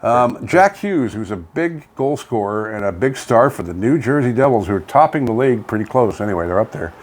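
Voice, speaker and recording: A man's voice: mid-range voice, expressive, fairly quick. A middle-aged or older Canadian man co-hosting a casual local radio show, talking in an emphatic, folksy, conversational way. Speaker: co-host of a local online radio vlog. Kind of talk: casual local chat and hockey commentary. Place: home studio. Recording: noisy home studio with background ambience.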